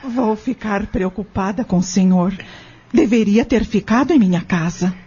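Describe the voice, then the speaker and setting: An actress playing a compassionate, devout farm lady in an audio drama, earnest and emotive, with a husky voice. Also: mid-range voice, moderate pace, warm tone. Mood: tender, proud. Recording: dull lo-fi audio with music underneath.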